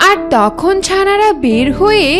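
A woman's voice: very high voice, very animated, a little slow. A woman narrating a scripted children's cartoon story. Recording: music under the voice.

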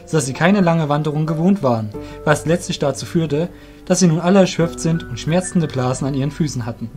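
A man's voice: on the high side, a little fast, a little mellow, faint music behind. A man narrating a scripted story as the narrator of an audio drama.

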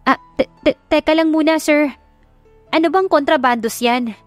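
A feisty young heroine in a romance audiobook, acted out by a woman narrator. Her voice sits very high and exclaims in a flustered, stammering way, recorded dry over faint music. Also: normal speed, no echo, lightly acted. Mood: wary, questioning.

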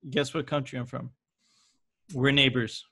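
A man's voice: mid-range voice, level tone, unhurried, gravelly, pushed and bright. A young man chatting casually and informally in slangy English as a podcast interviewer. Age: young adult. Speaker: podcast host. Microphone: close desktop microphone with headset.

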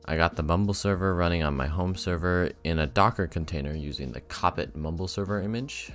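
Young man, deep register, informal how-to voiceover for a hobby build, light music underneath. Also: brisk. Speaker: hobbyist project vlogger. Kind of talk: casual tutorial narration of a DIY electronics project.

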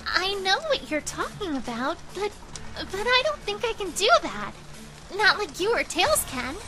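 A woman's voice: very high-pitched, very animated, a little slow, exaggerated voice. A woman voicing a sweet, eager young hedgehog girl with a girlish manner in a comic dub. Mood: unsure. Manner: girlish, hesitant then perky. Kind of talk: amateur comic dub voice acting. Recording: music behind the voice.

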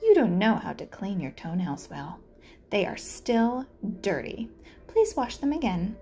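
A woman's voice: mid-range voice, very animated, measured pace, warm tone. A woman voicing a sly, greedy male spider in a children's storybook, with a fussy, polite manner. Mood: smug, scolding. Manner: polite, prim, throat-clearing. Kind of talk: children's storybook read-aloud.

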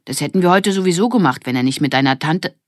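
A woman's voice: on the low side, lively intonation, fast, no room sound, clean studio sound, lightly acted. A woman voicing a bold, curious young girl in a children's audiobook, speaking eagerly and emphatically. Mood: urgent, scheming.

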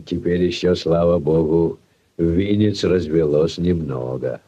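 A gruff, self-important village headman in a vintage radio drama. He speaks deep and slowly, with pompous weight. The recording is dull and slightly noisy. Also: mellow. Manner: ponderous, pompous. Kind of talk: 1940s Soviet radio play acting.

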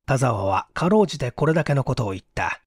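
A man's voice: mid-range voice, big pitch swings, fast, slightly rough, dry recording, clean studio sound. A man reading a mystery story as dramatic, suspenseful, theatrical audiobook narration. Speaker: audiobook reader.

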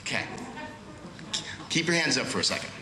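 A man's voice: a little high, animated, a little fast, background noise. A man in his thirties with a cold, sounding a little nasal, giving an earnest, slightly nervous talk and prayer from a church pulpit. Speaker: guest speaker. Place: church sanctuary at a pulpit, indoors. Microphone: far camera with pulpit microphone. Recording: roomy echo of a church hall.

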